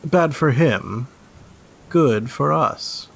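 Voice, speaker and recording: A man playing a tall, amused street thug in audio fiction, unhurried and taunting. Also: mid-range voice, vocal fry, a bit bright, a little hiss or hum, heavily acted. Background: rain and thunder.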